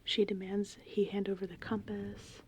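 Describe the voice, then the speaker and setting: A woman host speaks in a hushed, breathy ASMR whisper with a mellow, dark tone. She rambles casually, as if retelling a story in conversation. Gentle rain and thunder sit faintly behind her voice. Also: medium pitch, little pitch movement, normal speed. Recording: faint background noise, rain and thunder ambience. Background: gentle rain and thunderstorm ambience.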